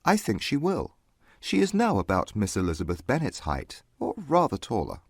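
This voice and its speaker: Proud, grave young gentleman male actor, reserved and formal, husky, dry close sound, period audiobook. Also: mid-range voice, wildly swooping pitch, moderate pace, dry recording, heavily acted. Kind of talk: dramatized audiobook acting.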